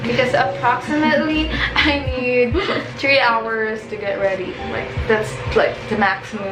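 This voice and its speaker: A young woman with a high voice chatting casually as a vlogger, reading out questions with an upbeat manner. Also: fairly even intonation, a little slow. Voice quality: high-pitched. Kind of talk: casual chat. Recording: echoey room with background music.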